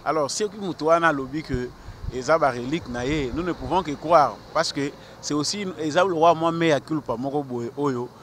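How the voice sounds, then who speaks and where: A Congolese man in his thirties argues his point on a city square, pitched a little high, stumbling over repeated words as he talks. He speaks into a handheld mic held out to him, with faint traffic noise behind him outdoors. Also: fairly quick. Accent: Congolese French with Lingala. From Congo (DRC). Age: 30s. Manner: earnest and argumentative, many repeated stammers; gestures with his hand while talking. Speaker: passer-by interviewed on the street. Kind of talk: street interview, mixing French and Lingala. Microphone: handheld mic held out by the interviewer.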